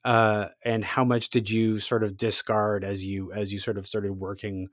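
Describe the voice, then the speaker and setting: Interviewer, dry close sound, stumbles through long questions with restarts and soft murmurs. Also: deep voice, flat delivery, no echo. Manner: halting, searching questions with many restarts. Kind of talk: conversational interview.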